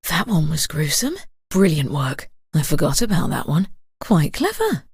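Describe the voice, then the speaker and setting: A woman's voice: deep voice, very animated, normal speed, husky, no room sound, full character voice. A woman voicing a wry, knowing narrator in a murder-mystery game, with scripted delivery.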